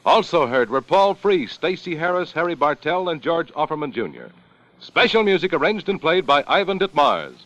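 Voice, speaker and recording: A man's voice: a little high, sing-song, normal speed, fairly bright tone. A man working as a radio announcer, reading scripted ads and credits in a polished, formal 1950s style. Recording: dull 1950 radio recording, music behind.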